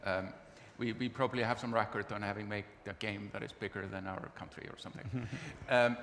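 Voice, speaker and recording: A man with an Icelandic accent speaks on a conference panel in measured, halting phrases with dry, wry asides. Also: fairly low pitch, steady tone, fairly bright tone. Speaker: panelist from the games industry. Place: large conference event hall. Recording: reverberant hall with audible noise.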